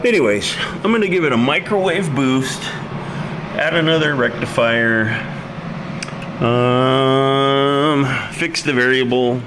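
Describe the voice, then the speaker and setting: A man's voice: mid-range voice, takes it slowly. A man giving an informal, unscripted hands-on explanation of his hobby project in a blunt, colloquial, plain-spoken way. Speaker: hobbyist presenter demonstrating his amplifier project. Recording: loud steady background hum.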